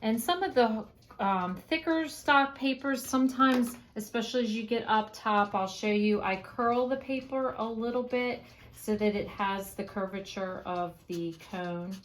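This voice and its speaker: A woman explaining a paper craft step by step in a casual, unhurried way with frequent fillers, her voice going hoarse. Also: a little high, a little hiss or hum. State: losing her voice, coughing. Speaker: craft tutorial host.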